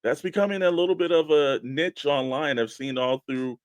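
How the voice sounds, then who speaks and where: A man's voice: a little high, moderate pace, no echo. Dry-sounding, laid-back male show host. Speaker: co-host of a toy collecting show. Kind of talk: casual radio show chat and hosting.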